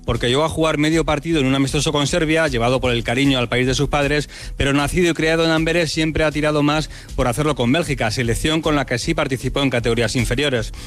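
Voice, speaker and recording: A man presenting a sports story on the radio in a lively, conversational way. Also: fairly high pitch, fast talker. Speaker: sports reporter.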